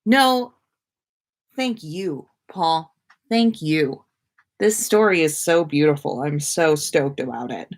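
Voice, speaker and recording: A woman with a somewhat low voice hosts a relaxed-format livestream. She takes her time, speaking very slowly as she responds to chat comments. Her wording is informal and slangy, with the odd swear word. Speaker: podcast host. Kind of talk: casual livestream chat reading viewer comments.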